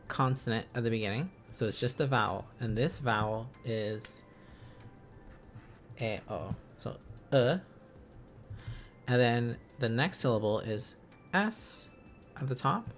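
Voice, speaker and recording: A man hosting an informal language-learning livestream, thinking aloud in a halting, casual, self-correcting way. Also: mid-range voice. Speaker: livestream host learning Korean along with viewers.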